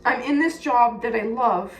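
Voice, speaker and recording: Higher-voiced Californian woman in an echoey room. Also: normal speed. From California, United States. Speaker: personal vlogger. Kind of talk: candid casual chat to viewers. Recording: echoey room with faint background noise.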